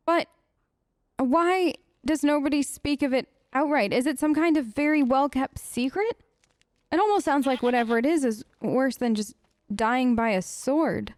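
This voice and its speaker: A woman at middle pitch reads fantasy game lore aloud, then stops to wonder aloud with puzzled questions. The sound is dry and close, with no room around her. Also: darkish tone, no echo. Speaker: let's play host. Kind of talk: gameplay commentary and reading in-game text aloud.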